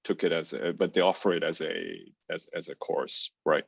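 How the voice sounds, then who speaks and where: Guy host asking questions, lowish voice, dry dull lo-fi audio. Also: fairly even intonation, normal speed, gravelly, husky, no room sound. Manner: hesitant, many "uh" fillers. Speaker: interviewer host. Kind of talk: hosted interview.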